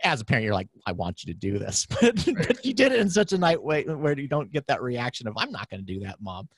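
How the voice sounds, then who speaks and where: A man's voice: medium pitch, very animated, fast talker, slightly rough, no echo. A man co-hosting a podcast, chatting in an informal, loosely phrased way. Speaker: podcast co-host, physician. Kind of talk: casual chat.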